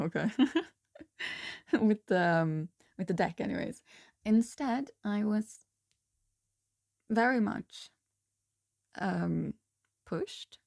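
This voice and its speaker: A hoarse young woman speaks slowly in a casual tarot reading, pausing often and restarting her sentences. Her tone is mellow and gravelly with a bit of fry, and the recording is dry and close. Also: medium pitch, no room sound. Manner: slow, halting, soft-spoken with frequent ums and restarts. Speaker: tarot reader.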